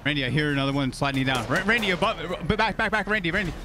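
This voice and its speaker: A male game streamer fires off urgent callouts very quickly in a mid-range voice. Gunfire and game noise are clearly heard behind him. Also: on the high side, fairly even intonation. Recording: noisy game audio with gunfire. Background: gunshots, vehicles.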